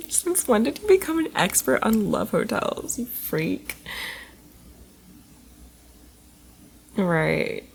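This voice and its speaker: A woman playing a tipsy, playful college girl in an intimate ASMR roleplay, rambling and teasing affectionately, often soft and whispery. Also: on the high side, big pitch swings, takes it slowly, vocal fry, long pauses, slightly rough, heavily acted.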